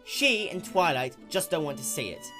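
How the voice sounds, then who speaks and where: A woman's voice: low voice, expressive, moderate pace, fairly bright tone, soft background music, voice pushed up. A woman voicing a glum, worried young male dragon, hesitant and sullen, in a dramatic fan-fiction reading. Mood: defensive.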